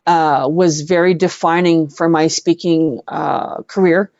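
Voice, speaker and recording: Middle aged canadian lady, pretty deep voice, halting heartfelt interview storytelling, dull dry online audio. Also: steady tone, darkish tone, no room sound. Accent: western Canadian. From Edmonton, Canada. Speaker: interview guest.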